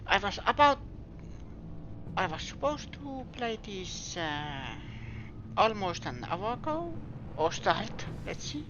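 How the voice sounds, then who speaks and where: A woman's voice: medium pitch, sing-song, slow pace, pauses often, dull audio, noisy background. A woman with a Finnish accent, Finnish her first language, chatting casually on a game stream and searching for her words. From Finland. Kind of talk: casual live-stream chat while gaming.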